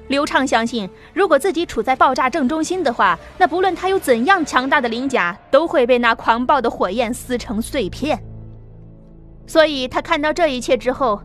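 High-pitched woman narrating a story. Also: a bit bright. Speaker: audiobook narrator. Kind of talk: scripted dramatic story narration. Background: background music.

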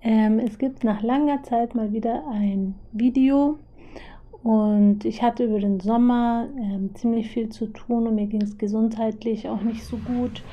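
A young woman with a hoarse, husky voice from a cold, explaining a topic casually over drawings with lots of filler pauses. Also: on the high side, fairly even intonation, dull audio. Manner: chatty, many filler pauses. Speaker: video host.

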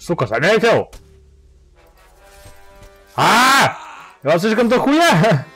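Foul-mouthed, excitable male gamer, swinging pitch. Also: extremely slow, breathy edge, dry recording, faint music behind. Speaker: gamer playing a fantasy game. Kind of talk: casual let's play commentary.

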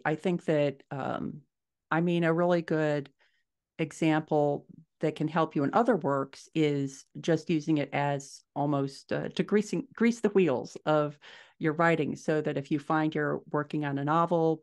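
Woman, middle-aged, low register. Author guest, explaining in a conversational interview style. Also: fairly even intonation, measured pace, darkish tone. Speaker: podcast guest, fiction author. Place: indoors at home, small furnished room. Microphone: video call.

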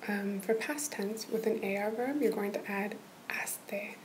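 A woman in a whispered tutoring roleplay, patiently walking a listener through a lesson in a soft, encouraging whisper. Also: mid-range voice, a little slow, a little hiss or hum, low-quality recording. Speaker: language tutor.

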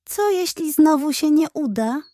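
Small young seal girl, voiced by a woman, bedtime tale. High pitch, timid, dreamy, quick to lose heart, close dry sound. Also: animated, mellow, dry recording. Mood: anxious. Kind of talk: children's bedtime audio story.

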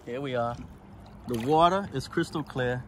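A man's voice: mid-range voice, moderate pace. A middle-aged man casually narrating his sailing trip, talking into a phone held at arm's length while out on a small sailboat on a lake. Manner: relaxed, chatty, laughs easily. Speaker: sailing vlogger. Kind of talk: casual vlog narration and voiceover. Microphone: phone held at arm's length, no wind muffler. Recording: wind and water noise outdoors.

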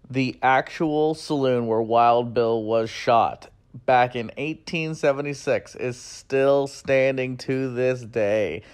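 A young man talking casually and enthusiastically while he records a road-trip vlog. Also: mid-range voice. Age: young adult. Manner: enthusiastic, easygoing. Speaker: travel vlogger. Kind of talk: casual travel vlog with voice-over. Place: outdoors, windy open land. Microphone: phone camera at arm's length. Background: wind.

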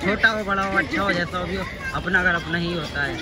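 A man's voice: sits high, fairly quick, over a noisy crowd. A young man chatting casually into a phone held at arm's length during a family trip. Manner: easygoing, giving plain opinions. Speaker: family vlogger. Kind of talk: casual vlog chat. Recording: crowded outdoor station.

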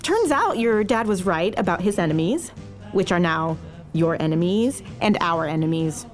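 A woman's voice: on the low side, animated, normal speed, soft background music, lightly acted. An actress playing a tender, teasing young Mexican American businesswoman in a naturalistic audio romance. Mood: warm, playful. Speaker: actor in a scripted podcast romance. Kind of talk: naturalistic audio drama acting.

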